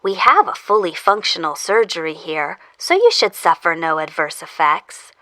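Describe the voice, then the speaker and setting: A woman's voice: lowish voice, big pitch swings, moderate pace, a bit bright, no echo, rough recording. A woman playing a persistent, matter-of-fact medical researcher in an audio drama, hesitating over delicate words. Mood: reassuring, clinical. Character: clinical, persistent woman researcher studying zombie infection. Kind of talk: audio fiction acting.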